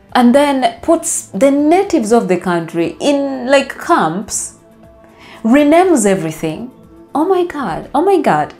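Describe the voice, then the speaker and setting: A woman's voice: medium pitch, darkish tone. A Ugandan woman in her thirties chats straight to camera, her English shaped by her first language, Luganda. She talks things through as she goes and gestures freely with her hands. Faint music plays behind her lapel-miked voice. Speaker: travel vlogger. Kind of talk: casual talk to camera. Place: bright living room indoors. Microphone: lapel mic.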